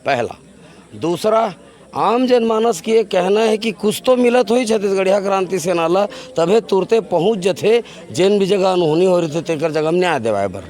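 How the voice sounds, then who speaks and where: A man's voice: sits high, animated, normal speed, darkish tone. A man with a Chhattisgarhi accent answering an interview as an activist spokesman, emphatic and oratorical, building points by repetition.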